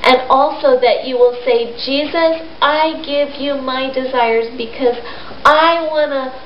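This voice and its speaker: A woman telling a story to children in a simple, gentle teaching way, with pauses. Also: sits high. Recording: roomy echo, dull sound, faint noise.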